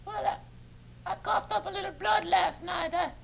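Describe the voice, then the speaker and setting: A man voicing a sickly, whiny, childlike puppet creature in a parody puppet show, stammering and whimpering in a strained, creaky voice. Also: extremely high pitch, normal speed, fairly bright tone, exaggerated voice. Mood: whimpering, feeble. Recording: noisy old videotape sound.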